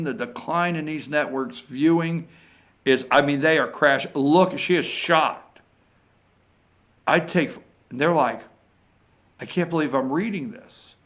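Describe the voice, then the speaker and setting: A man with a high, creaky voice hosts a political talk show. He speaks off the cuff and with strong opinions. His delivery is exasperated and emphatic, and he likes to say a phrase again for weight. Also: a bit bright.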